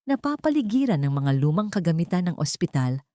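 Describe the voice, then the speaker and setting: A woman's voice: fairly low pitch, big pitch swings, brisk, dark tone, no room sound, clean studio sound. A woman reading a scripted, dramatic first-person story as an audio narrator.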